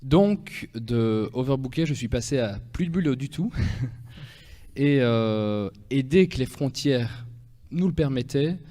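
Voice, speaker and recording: A man with a Belgian French accent, speaking as an interview guest in an easy, chatty manner. His voice is mellow and dark at a middle pitch. The sound carries a long reverb. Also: animated, takes it slowly. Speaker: guest, pianist and actor. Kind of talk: radio interview.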